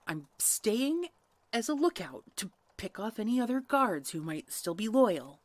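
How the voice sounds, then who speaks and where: A woman's voice: medium pitch, very animated, a little slow, no echo. A woman playing a stubborn, protective hunter in a fantasy audio roleplay, terse and brusque.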